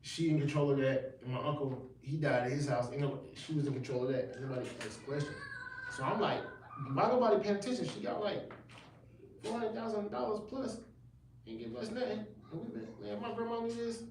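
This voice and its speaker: A man's voice: sits high, a little fast, creaky. A man in his thirties, a gospel rapper talking casually in a livestream interview, rambling with slang and many restarts. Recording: echoey room, distant mic, faint noise.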